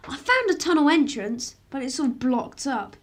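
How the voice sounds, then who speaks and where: A woman performs a young schoolboy in a radio play. The child is inquisitive and plain-spoken, with a very high voice that moves up and down freely. The delivery is childlike and blunt. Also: very animated, normal speed, a little hiss or hum. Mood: matter-of-fact, curious. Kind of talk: radio drama acting.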